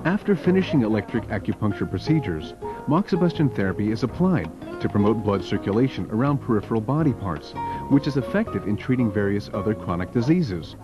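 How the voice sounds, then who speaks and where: Slow-paced male documentary narrator. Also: fairly low pitch, big pitch swings. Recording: dull lo-fi video soundtrack. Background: background music.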